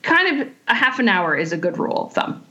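A woman's voice: medium pitch, big pitch swings, brisk, sharp tone, muffled recording. A woman from California, a sommelier guest, answering questions in a thoughtful way with many fillers and restarts. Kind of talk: casual interview answers.

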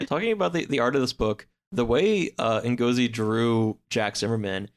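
Casual man explaining things, mid-pitched voice. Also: moderate pace. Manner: informal, loose, hedging with "like". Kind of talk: casual podcast chat, explaining.

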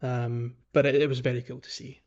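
A man with a mid-pitched voice hosts a gaming podcast in casual conversation. He talks haltingly, starting phrases over and letting some trail off. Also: level tone, a little mellow, lo-fi sound. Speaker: podcast host.

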